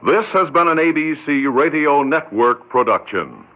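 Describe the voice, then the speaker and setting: Brief: man announcing in a lively, formal cadence, dull lo-fi old radio sound, loud music under. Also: on the high side, moderate pace. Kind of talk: vintage network radio announcing.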